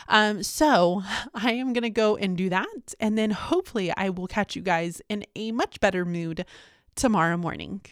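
A woman speaks casually to her vlog audience in a medium-pitched voice. Her manner is chatty and candid, readily self-deprecating, as if confiding in friends. The sound is dry, with very little room echo. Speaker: teacher and vlogger.

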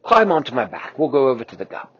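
A man's voice: mid-range voice, big pitch swings, fast talker, husky. A man voicing a worried mother Tyrannosaurus in a storybook tale, with rising anxious pleas. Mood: calm. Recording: lo-fi, dull.

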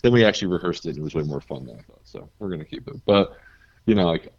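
A deep-voiced man from New Jersey, a guest musician chatting casually and telling stories with easy laughs. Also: lively intonation, fast talker, vocal fry, no room sound, dull audio. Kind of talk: casual interview chat.

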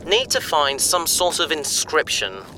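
A man's voice: a little high, sing-song, measured pace, sharp tone, slight background noise, exaggerated voice, poor audio. A man playing a bookish, enthusiastic space adventurer who explains things chattily in a sci-fi audio drama. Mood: puzzled, thinking. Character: male bookish, eager space adventurer and explorer.

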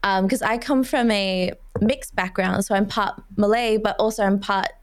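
A woman's voice: mid-range voice, steady tone, moderate pace, smooth. A woman hosting a podcast in a casual conversational way, talking in long, run-on sentences with fillers such as "like" and "sort of". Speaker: podcast host.

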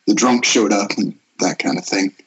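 A man playing a gruff, middle-aged father, awkward with feelings, in an amateur script table read. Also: lowish voice, normal speed. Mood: awkward, tender. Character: Alice's gruff, awkward father, a recovering drinker. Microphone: Skype call. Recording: dull lo-fi internet call.

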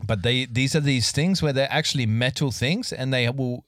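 A man chats on a podcast with a teasing, joking edge, laughing often. His voice sits at an ordinary pitch. The sound is close and dry, with no room around it. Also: normal speed, a bit bright, no room sound. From Australia. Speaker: podcast co-host. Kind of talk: casual chat.

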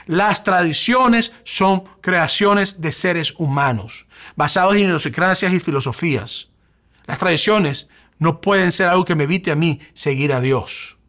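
A man's voice: a little high, animated, unhurried, a bit bright. A male pastor from Venezuela with a Venezuelan Spanish accent, preaching a sermon with rising emphasis and rhetorical questions.

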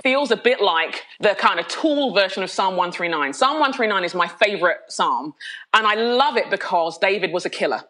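A bright, ringing woman's voice from London, middle-aged and full of energy. She speaks as a candid interview guest, laughing often and restarting sentences as her thoughts race ahead. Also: mid-range voice, lively intonation, normal speed. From London, of Nigerian heritage. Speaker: podcast guest, pastor.

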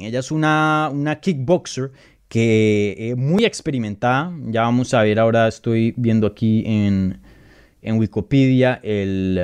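A Colombian man talks through fight predictions in a loose, chatty way, stressing points with emphasis and often pausing on fillers. His voice sits at an ordinary middle pitch. The sound is dry and close, with almost no room echo. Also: a little mellow, clean tone. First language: Spanish. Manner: conversational, emphatic, many fillers and restarts. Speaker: MMA analyst and channel host. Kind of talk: casual live commentary answering viewer questions.

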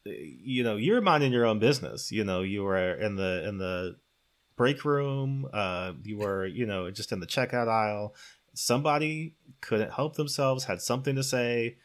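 A man's voice: lowish voice, expressive, normal speed. A man co-hosting a podcast in a casual, conversational, joking style. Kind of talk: casual comedic podcast chat.

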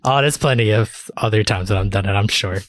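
A man's voice: fairly low pitch, a little fast, dry recording. A man co-hosting a podcast, chatting informally and crudely about anime with plenty of swearing. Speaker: podcast co-host. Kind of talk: casual chat about anime.

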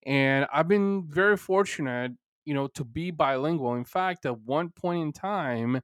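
A man's voice: a little high, unhurried, a bit bright, no room sound. Earnest Bulgarian-born man, dry close sound. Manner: earnest, rambling, many "you know" fillers. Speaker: podcast host. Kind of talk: solo podcast monologue.

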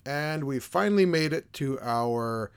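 A man's voice: mid-range voice, lively intonation, a little slow, clear, resonant tone. Scratchy-voiced man hosting a podcast. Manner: rambling, loose, with many fillers and self-restarts. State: hoarse from being sick. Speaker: podcast host. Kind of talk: casual board game podcast chat.

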